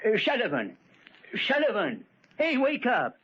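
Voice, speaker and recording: A man's voice: high voice, huge pitch swings, a little slow, fairly bright tone, slightly rough, exaggerated voice. An actor playing a nervous, folksy hotel man in an old-time radio drama, hesitant and stammering. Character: jittery, folksy hotel man, easily spooked. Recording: muffled, noisy old radio recording.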